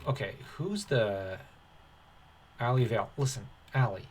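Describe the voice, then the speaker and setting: A man's voice: mid-range voice, expressive, measured pace, slight background noise. A man reading an article aloud as a commentator, breaking off into dismissive, sardonic, off-the-cuff asides. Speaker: online commentator.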